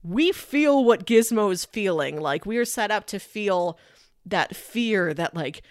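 A woman's voice: medium pitch, animated, unhurried, no room sound, clean studio sound. A woman co-hosting a film podcast, talking casually in a chatty, analytical way. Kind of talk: casual movie discussion.

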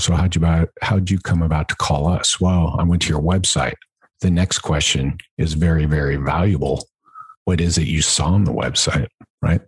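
Man, very low voice, rough husky tone, podcast coach, conversational, emphatic, repeats key points. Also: no echo, rough recording. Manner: emphatic, repeats key points, asks rhetorical check-in questions. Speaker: podcast host and business coach. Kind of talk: conversational instructional podcast monologue.

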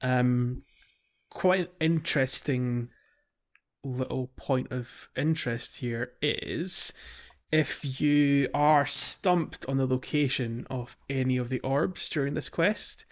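Male podcast host, mid-pitched, hesitant conversational explainer with British colloquialisms, slightly dull recording. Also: slow pace. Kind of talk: casual video game walkthrough chat.

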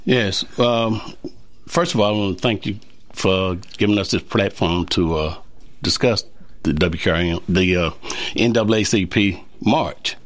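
A man guest on a local talk show, explaining an event in a plain, unhurried, halting way. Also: low voice, no room sound. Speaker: civic group president, guest.

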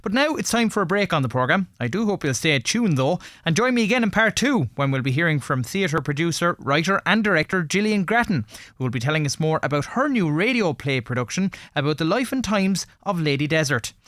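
A man's voice: a little high, very animated, a little fast, a bit bright, dry recording, studio quality. A man with an Irish accent presenting a radio programme from a script, linking between segments as its host.

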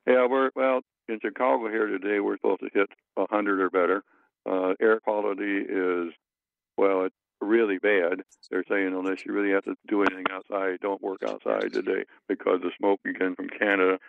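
Gravelly man on a phone-like line. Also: lowish voice, little pitch movement, normal speed, no echo. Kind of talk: casual chat. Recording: muffled phone-like line, radio-like.

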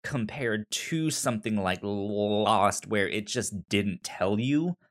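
Halting man in casual podcast chat. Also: fairly low pitch. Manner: halting, repeats syllables and words, acts out quotes. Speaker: podcast co-host. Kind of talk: casual discussion of a TV series.

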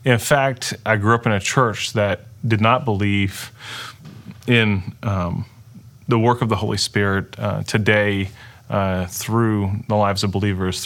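A middle-aged man whose first language is Dutch shares his story in a conversational interview. His voice sits a little low. He talks earnestly, often pausing and restarting mid-sentence. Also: a bit bright. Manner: earnest, halting, many restarts and fillers. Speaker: interview guest. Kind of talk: personal testimony in conversation.

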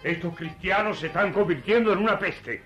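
A man's voice: high voice, a little fast, fairly bright tone, muffled recording, soft background music. An Argentine man playing a zealous, hot-headed religious persecutor in radio theatre, emphatic and accusatory. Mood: scornful, conspiratorial.

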